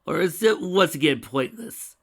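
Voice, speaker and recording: A man's voice: fairly high pitch, lively intonation, moderate pace, creaky, voice pushed up. A man voicing a tired, blunt young male dragon who grumbles and sighs. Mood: grumbling. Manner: blunt, exasperated.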